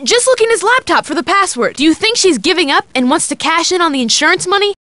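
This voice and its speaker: A wry, sensible young female sidekick from a detective video game. She has a bright, forceful, high voice with lively inflection. Her delivery is practical and matter-of-fact. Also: quick pace, no echo. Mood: casual, helpful. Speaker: game character giving hints.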